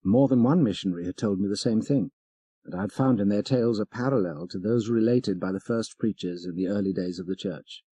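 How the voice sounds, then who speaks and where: A man reading a supernatural story as an audiobook narrator, with measured, dramatic literary phrasing. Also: on the low side, dark tone, slightly rough, dry recording.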